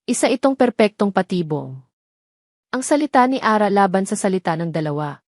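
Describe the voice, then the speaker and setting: A woman's voice: medium pitch, animated, fairly quick, a little mellow, dry recording, studio quality. A woman narrating a scripted romantic drama as a storyteller, in a dramatic reading style.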